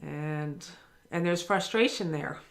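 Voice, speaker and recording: A woman's voice: low voice, takes it slowly, vocal fry. A woman giving a daily spiritual lesson, earnest and encouraging, speaking directly to the listener. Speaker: spiritual teacher.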